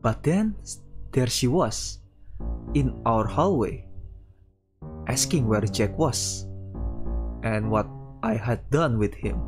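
Halting male game streamer over faint music. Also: medium pitch. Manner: halting, breaks off to react to the story. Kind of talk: visual novel walkthrough, reading text aloud with casual commentary.

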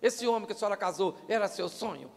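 An older Brazilian pastor jokes with his congregation and keeps asking them questions. His voice sits high for a man and has a gravelly edge. He speaks into a handheld mic in a large hall with a long reverb. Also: fast talker. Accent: Brazilian Portuguese. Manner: joking, animated, asks the crowd questions. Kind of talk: humorous marriage talk to couples. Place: church stage, large hall. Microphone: handheld microphone. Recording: live church hall with long reverb and faint music.

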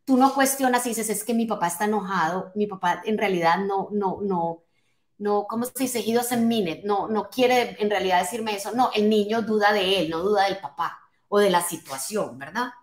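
A woman's voice: mid-range voice, moderate pace. Emphatic motivational woman in an echoey room. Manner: emphatic, direct address with rhetorical questions and check-in tags. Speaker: motivational coach and speaker. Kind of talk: motivational talk to an online live audience. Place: small home office, indoors. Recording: roomy, echoing indoor sound.

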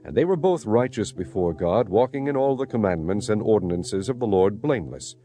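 A man narrates scripture as solemn dramatic storytelling. His voice is slightly low and dark, with lively movement in pitch. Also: brisk. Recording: music behind the voice.

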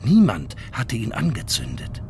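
Male reader for historical accounts, unhurried, widely varied intonation, dramatic. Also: medium pitch, huge pitch swings, vocal fry, a little mellow, slight background noise. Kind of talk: dramatic scripted reading of a chronicle.

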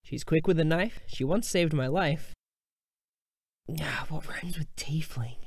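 A man's voice: a little high, unhurried, slightly rough, no room sound, clean studio sound. A man voicing a cheerful, theatrical bard for a fantasy game, in a playful sing-song manner. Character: cheerful theatrical male bard, singing and rhyming. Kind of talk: fantasy character voice demo.

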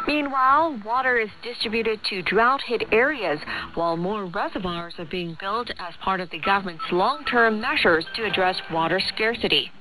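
A woman's voice: medium pitch, poor audio. A woman radio presenter reading scripted news on a shortwave broadcast. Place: radio studio, heard over shortwave.

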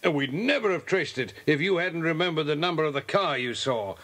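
A brisk, genial British police inspector for a children's audio drama. His voice sits high for a man. He talks in a hearty, polite and chatty way. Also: animated, a bit bright. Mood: businesslike.

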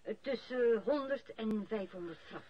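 A woman's voice: on the high side, lively intonation, a little slow. A woman with a Flemish accent playing a matter-of-fact office secretary in a radio play, polite and businesslike. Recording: dull old radio recording.

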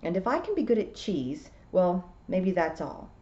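A woman's voice: fairly low pitch, very animated, moderate pace, mellow. A woman voicing a small, earnest and frustrated young apprentice witch, plaintive and questioning, on a slightly dull recording. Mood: anxious.

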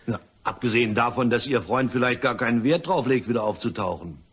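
A man acting in a German crime radio play as an evasive, rattled French gentleman, husky, stammering and hedging politely. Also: medium pitch, a little fast. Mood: nervously evasive.

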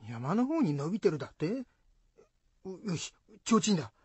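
A woman playing an excitable, easily spooked rustic husband in a folk-tale radio drama, blunt and husky, with Shizuoka dialect. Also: on the low side, moderate pace, no room sound, heavily acted. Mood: indignant, determined. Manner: blunt, rough rustic speech.

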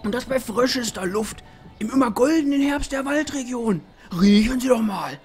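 A man's voice: very high-pitched, expressive, moderate pace, a little mellow, faint music behind. A man playing a pushy, overeager, desperate estate agent with theatrical, gushing sales patter in comedic game fan fiction. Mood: enthusiastic.

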